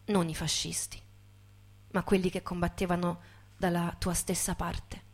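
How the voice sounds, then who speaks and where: A woman giving a dramatic, scripted stage narration with intense, measured storytelling. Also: lowish voice, little pitch movement. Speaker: actress and narrator.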